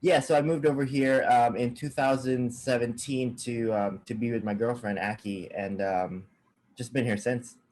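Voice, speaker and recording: A young man from Saipan, a cameraman, talking casually as a guest on a video call, halting with many fillers and restarts. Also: medium pitch, fairly even intonation, a little mellow, rough recording.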